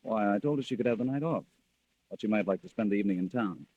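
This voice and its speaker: An actor playing a scheming, impatient husband in an old-time radio thriller, coaxing and brusque. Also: male voice, lowish voice, quick pace, no echo, phone-quality sound, lightly acted. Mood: furtive, tense. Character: scheming, impatient husband secretly poisoning his wife.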